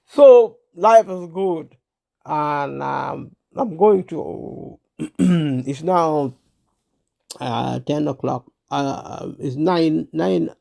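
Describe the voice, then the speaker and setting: A man of sixty from Uganda, hosting his own channel and talking to camera in a halting way, with many pauses, fillers and restarts, clearing his throat as he is unwell. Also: fairly high pitch, darkish tone. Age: 60s. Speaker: channel host. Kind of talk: casual talk to camera.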